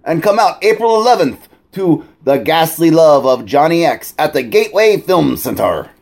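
A man performs a theatrical mad-doctor horror host with a fairly high voice. The delivery is jokey and hammy, with pitch that swings widely, like a comic announcer presenting a scripted bit. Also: very animated, moderate pace, vocal fry, darkish tone, exaggerated voice. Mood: wry, presenting. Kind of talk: scripted comic joke segment.

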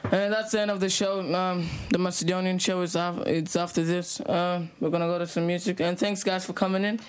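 A young man from South Sudan hosts a small radio show in loose, conversational tones. His delivery stays flat and even, with frequent easy laughter. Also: high-pitched, a little fast, slight background noise. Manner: relaxed, laughing, mixes in another language. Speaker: youth radio host. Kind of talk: casual radio interview chat.

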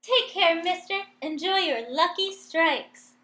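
A woman's voice: very high voice, expressive, takes it slowly, bright, forceful tone, full character voice. A woman acting a young working-class cigarette girl in old-time radio comedy, giving folksy sing-song sales patter. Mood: chirpy sales pitch. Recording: roomy, echoing room sound.

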